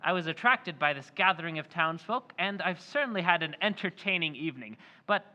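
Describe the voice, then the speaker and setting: A smooth, persuasive stranger in an old-time radio play, voiced by a man with a high, piercingly bright tone. He talks like a salesman winning over a crowd, all polish and assurance. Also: animated, fairly quick. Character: smooth-talking mysterious male stranger posing as an antiques dealer.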